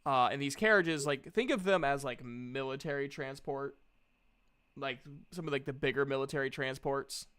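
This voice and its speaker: A man improvising tabletop game narration as game master, halting often as he searches for words. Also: mid-range voice, a bit bright, clean tone.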